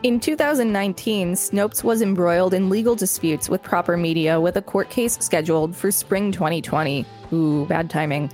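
A woman's voice: on the low side, normal speed, few pauses, a little mellow, faint music behind. A woman co-hosting a podcast, reading an article aloud and adding informal, conversational comments. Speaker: podcast co-host.

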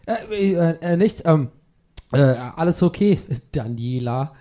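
A man's voice: on the high side, lively intonation, takes it slowly, dark tone. A man playing a nervous, indignant boy in a comedic audio play. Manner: nervous, exasperated. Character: flustered, indignant boy being shrunk by magic.

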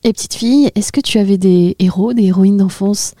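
Woman podcast host, mellow voice. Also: mid-range voice, fairly quick, no room sound, studio quality. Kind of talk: scripted introduction and interview questions.

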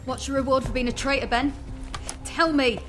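An actress playing a betrayed, defiant fugitive in an audio drama, bitter and confrontational. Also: female voice, high-pitched, fairly even intonation, normal speed, music in the background, natural voice. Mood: bitter, accusing. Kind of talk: audio drama dialogue acting.

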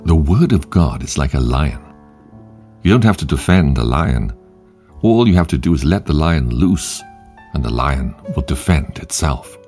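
A man hosting a devotional show, reading short quotes in a measured, emphatic way with long pauses. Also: very deep voice, animated, mellow, faint music behind. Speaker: podcast host and devotional reader.

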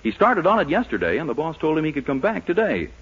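A man's voice: a little high, sing-song, fast, fairly bright tone, husky. An American man announcing in a polished, emphatic 1940s radio style, reading scripted copy. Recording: dull vintage 1940s radio broadcast.

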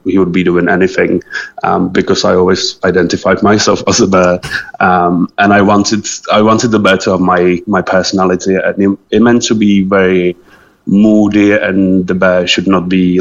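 Deep, gravelly Czech-accented man. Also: lo-fi sound. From Czech Republic. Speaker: interview guest, small clothing business founder. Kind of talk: casual conversational interview.

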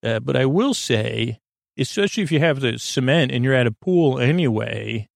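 A man hosting a bedtime podcast in a casual, meandering monologue, stammering and halting as he keeps drifting off topic. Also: medium pitch, expressive, vocal fry, no room sound, clean studio sound. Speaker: podcast host.

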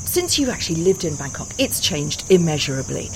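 A woman's voice: deep voice, very animated, brisk, background noise. A woman hosting a podcast, narrating and interviewing. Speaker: podcast host.

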